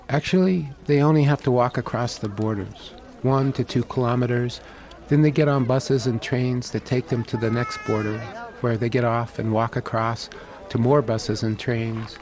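A man, narrating a documentary report in a calm, measured, plain-spoken way. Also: medium pitch. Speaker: host and reporter. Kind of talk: scripted narration and field interview.

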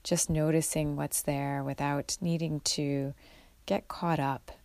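A woman guiding a mindfulness meditation in a gentle, unhurried way, leaving long pauses between instructions. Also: sits low, little pitch movement, no echo. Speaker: guided meditation leader.

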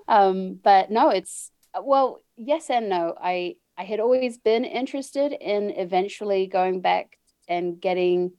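A woman with a New Zealand accent talks in a low-set voice, loose and chatty, laughing often and circling back over her words. The sound is close and dry, with no room around it. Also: darkish tone, no echo. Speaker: podcast guest. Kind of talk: casual interview chat. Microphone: video call.

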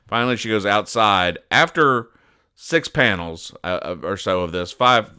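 Bright, low-voiced man with a deadpan delivery. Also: clean tone. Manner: deadpan, mock-serious explaining with halting asides. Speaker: podcast host. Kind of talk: deadpan comedic commentary, recapping comic strips.

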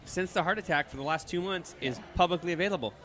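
A rambling, excitable young man in his twenties, dropping curses through casual podcast chat. His voice sits a little high and sounds bright. He jumps between thoughts and often restarts his sentences. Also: quick pace. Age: 20s. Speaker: podcast guest. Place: brewery.

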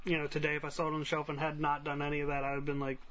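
A male podcast co-host speaks in a bright voice on the higher side. His talk is casual and loosely halting, and background noise is audible around him. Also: completely flat delivery. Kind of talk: casual group chat. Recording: clear background noise and room ambience.

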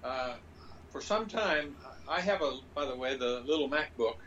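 A man co-hosting a podcast in casual conversation, halting and restarting as he searches for words. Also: mid-range voice, lively intonation, muffled recording, crowd noise. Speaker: podcast co-host.

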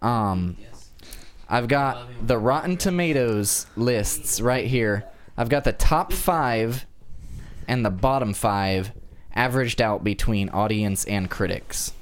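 A man's voice: medium pitch, lively intonation, takes it slowly. A man hosting a podcast, running a casual quiz and chatting with a panel. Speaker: podcast host and quizmaster. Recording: music behind the talk. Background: music.

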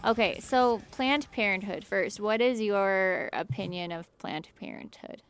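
A woman's voice: medium pitch, a little slow, a bit bright, clean tone, faint music behind. A woman hosting a podcast in casual, opinionated chat about politics. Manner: chatty and opinionated. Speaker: podcast host.

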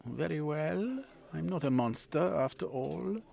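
A man's voice: fairly high pitch, animated, a little slow, creaky, a little mellow, pitched above the performer's own voice, heavily acted. A man voicing a scheming, scornful male foreign spy villain who threatens and sneers.

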